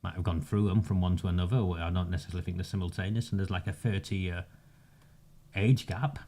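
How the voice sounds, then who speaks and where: A man, delivering a measured, sarcastic campaigning monologue with emphatic pauses. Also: low-pitched, fairly even intonation, mellow. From northern England. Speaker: community campaigner. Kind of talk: direct-to-camera monologue.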